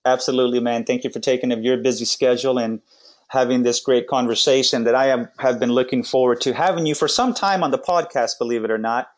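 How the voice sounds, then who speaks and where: A man's voice: medium pitch, steady tone, fast talker. A man hosting a faith podcast, interviewing a guest in a rambling style with many restarts. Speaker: podcast host.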